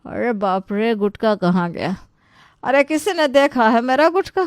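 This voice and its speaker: Brash storybook auntie, woman performer, high voice, dismissive and quarrelsome, loud drawn-out cries, dry recording. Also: expressive, dry recording. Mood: outraged. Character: brash, quarrelsome tobacco-chewing aunt, shameless and dismissive.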